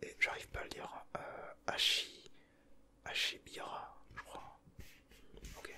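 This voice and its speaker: A man whispers very close to the mic in an extremely bright, breathy hiss. He talks in casual ASMR style, slipping in teasing, slangy asides as he comments. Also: takes it slowly. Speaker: ASMR video creator.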